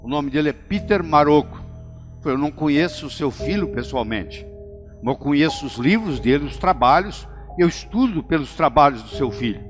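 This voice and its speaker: An older Brazilian man delivers a motivational talk, stressing key phrases by slowing down on them. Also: a little high, expressive. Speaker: motivational speaker. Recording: long hall reverb with faint music. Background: faint background music.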